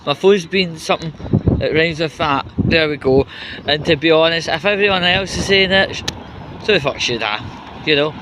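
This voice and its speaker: A Scottish man rambling casually as he vlogs outdoors, sweary and irreverent, with halting pauses. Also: on the high side. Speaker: vlogger. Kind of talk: rambling casual walking vlog, chatty. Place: outdoors on a city street. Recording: noisy outdoor street with traffic.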